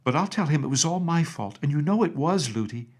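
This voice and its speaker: A man's voice: fairly high pitch, animated, brisk, pitched above the performer's own voice. A man voicing a young princess girl who is innocent, affectionate and stubborn, with a pleading, childlike directness, in a storybook reading. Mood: upset, pleading.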